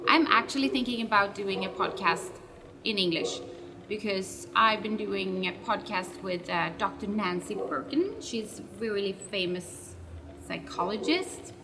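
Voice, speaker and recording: A chatty woman, answering fan questions in a loose, rambling vlog. She stops to correct her own words as she talks. Her voice sounds set back from the mic, with a clear layer of background noise. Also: medium pitch, animated, a little slow, far from the mic. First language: Swedish. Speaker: web show host.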